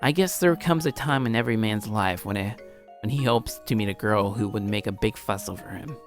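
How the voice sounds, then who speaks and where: Man reading a visual novel over music. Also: a little fast. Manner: stumbles and rereads phrases. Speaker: livestreamer doing a let's play. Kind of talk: reading visual novel narration aloud, with casual asides. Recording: low-quality stream audio, music behind.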